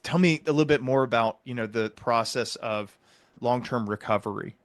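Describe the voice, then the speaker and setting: A man hosting an interview, talking earnestly and explaining his points with measured emphasis. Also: medium pitch, dry recording. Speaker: podcast host.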